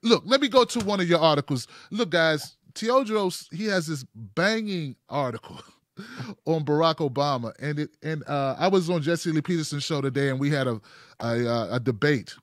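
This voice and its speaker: A man's voice: on the high side, animated, normal speed, no echo, clean studio sound. A middle-aged American man hosting a talk show in casual, emphatic, slangy conversation. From United States. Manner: emphatic, slangy, addresses listeners as man, bro, guys. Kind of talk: casual, animated interview chat.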